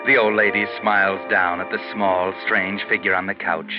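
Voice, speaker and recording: An actor playing a wry, ominous storyteller, narrating a 1940s radio mystery in a measured way. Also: male voice, sits low, flat delivery, music in the background, close to an everyday voice. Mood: hushed, knowing. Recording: dull 1940s radio recording.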